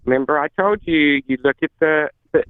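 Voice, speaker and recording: A woman's voice: fairly quick, clean tone, no room sound. Woman on a tinny phone line. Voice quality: lower-register voice. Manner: emphatic, repeats herself. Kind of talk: casual chat.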